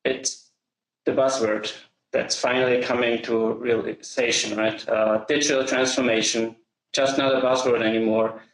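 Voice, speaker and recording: A middle-aged man from Austria speaks English with an Austrian German accent. He gives a measured, steady business presentation at a middle pitch. The sound is roomy and echoey. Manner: measured, slows to stress key phrases. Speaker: company founder presenting.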